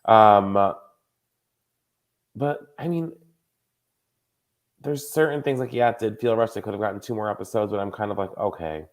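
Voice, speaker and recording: Male podcast host, 30s, lowish voice, loose filler-heavy chat. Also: normal speed, pauses often. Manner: chatty, lots of filler words. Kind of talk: casual chat.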